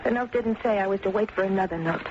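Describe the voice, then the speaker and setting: A woman's voice: mid-range voice, fast, natural voice. An actress playing a young small-town woman, a sassy, reluctant informant with a dry, plain-spoken manner, in an old-time radio drama. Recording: muffled, noisy vintage radio recording.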